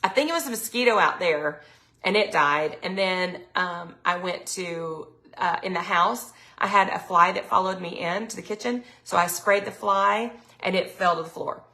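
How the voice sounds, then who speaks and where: A middle-aged woman, chatting casually on a live sales stream, her throat a little raspy from allergies. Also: fairly low pitch, normal speed, sharp tone. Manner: chatty, lots of ums, reads viewer comments aloud. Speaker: product consultant hosting a live sales stream.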